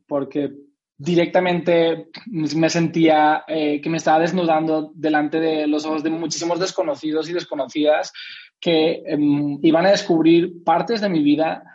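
Dull-sounding young man, higher-pitched voice. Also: fairly even intonation, measured pace, darkish tone. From Spain. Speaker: interviewed author. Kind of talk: reflective interview conversation. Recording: dull, lo-fi audio.

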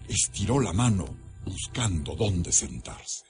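A man's voice: low-pitched, lively intonation, takes it slowly, heavily acted. A man with a Mexican accent narrating a scripted horror radio drama in a solemn, suspenseful manner. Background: loud dramatic music.

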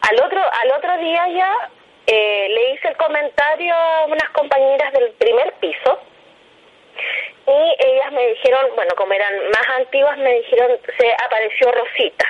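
A woman's voice: sits high, normal speed. A Chilean woman recounting a personal experience in an interview. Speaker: interviewed hospital night-shift worker. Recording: dull, lo-fi recorded interview.

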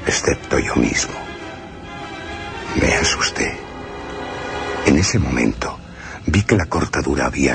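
An actor playing an uneasy male houseguest, telling his gothic tale in a measured, deliberate way for a radio drama. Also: extremely low pitch, gravelly, exaggerated voice. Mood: frightened, tense. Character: uneasy male houseguest recounting a night with a vampire count. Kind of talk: dramatised first-person gothic radio narration. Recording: radio drama with prominent music.

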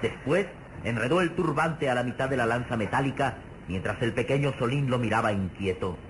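A man's voice: medium pitch, brisk, close to an everyday voice. A man narrating an adventure radio serial in a formal, emphatic, suspenseful style. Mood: descriptive, grave. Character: grave, vivid narrator of a heroic adventure serial. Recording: noisy old radio serial recording.

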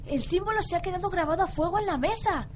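High-pitched bossy young servant played by a woman. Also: fast, noisy background. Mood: dutiful. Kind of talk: radio drama acting.

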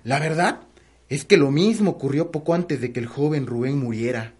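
A woman's voice: low voice, normal speed, darkish tone, husky. A woman with a Mexican accent playing a superstitious, gossipy household servant in a melodramatic radio soap opera. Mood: ominous dread.

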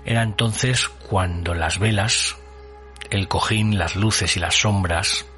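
Deep-voiced man narrating solemnly. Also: slow, sharp tone, soft background music. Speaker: radio show host. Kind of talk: scripted atmospheric narration on horror storytelling.

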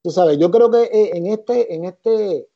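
A middle-aged man with a Puerto Rican accent, a musician and arranger talking about his career in an interview, relaxed and reflective, with many fillers. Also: high voice, takes it slowly. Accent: Puerto Rican Spanish. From Puerto Rico. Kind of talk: interview answers, storytelling. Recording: dull lo-fi sound.

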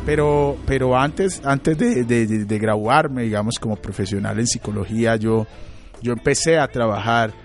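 A Colombian man in his late thirties, a psychologist and radio guest, answering in a thoughtful, halting way with many fillers and restarts. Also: mid-range voice, faint music behind. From Colombia, Chocó. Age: late 30s. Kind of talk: reflective interview answers.

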